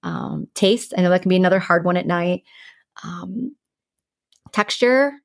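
A woman's voice: fairly low pitch, unhurried, gravelly, dry recording, studio quality. A woman co-hosting a podcast, giving advice in an informal, conversational way and explaining things through everyday examples. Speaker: podcast co-host giving sleep tips.

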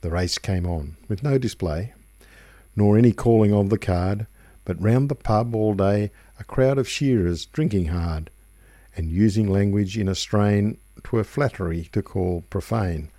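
A man reciting a rhyming tale as a radio storyteller, in measured, rhythmic phrases. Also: on the low side, mellow, no room sound, clean studio sound. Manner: measured, rhythmic verse delivery. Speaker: radio reader. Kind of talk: expressive poetry recitation, storytelling.

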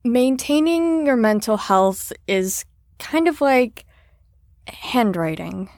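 A woman's voice: on the high side, expressive, slow, a little mellow, no echo, studio quality. A woman in an audio drama playing an earnest, caring facility staffer who talks in a reassuring, sincere, slightly rambling way. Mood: earnest, encouraging.

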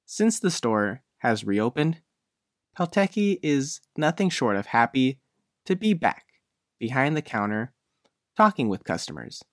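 Lively-pitched man reading a news feature. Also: a little slow, dry recording, clean studio sound. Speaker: reporter. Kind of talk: scripted news feature narration.

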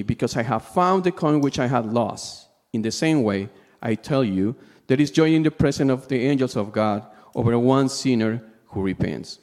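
A man from Peru with a Peruvian Spanish accent preaches a sermon in English as a second language, pausing now and then to search for words. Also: mid-range voice, darkish tone. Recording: long hall reverb.

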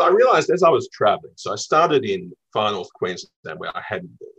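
A middle-aged man from Melbourne with an Australian accent, telling travel stories in a radio interview, reflective and lively, with ums and restarts. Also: deep voice, highs cut off. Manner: reflective, lively storytelling with fillers. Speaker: travel writer guest.

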